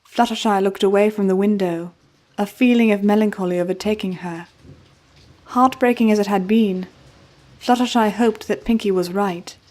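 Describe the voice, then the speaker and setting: A woman's voice: mid-range voice, steady tone, normal speed, darkish tone. A woman reading scripted storybook narration as the storyteller, with music playing behind her. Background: background music.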